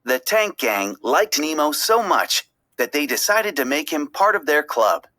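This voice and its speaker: A woman reading a children's story aloud as an expressive, dramatic narrator. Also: sits low, unhurried. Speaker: storybook reader.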